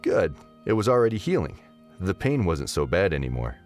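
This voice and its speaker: A man narrates a scripted story in a low voice. His delivery is expressive and swings widely in pitch. Faint music plays behind the narration. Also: normal speed.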